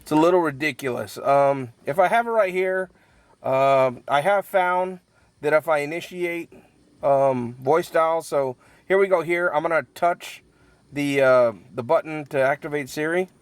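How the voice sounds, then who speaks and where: A man giving a casual, unscripted product review, halting as he thinks aloud and repeats words, in a matter-of-fact, mildly critical way. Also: fairly high pitch, clean tone, no echo. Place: inside a truck cab.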